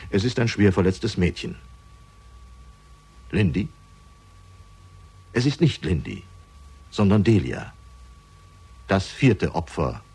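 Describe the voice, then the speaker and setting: A man reading scripted narration for a crime radio drama, measured and dramatic, with deliberate pauses. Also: lowish voice, a little mellow, breathy edge.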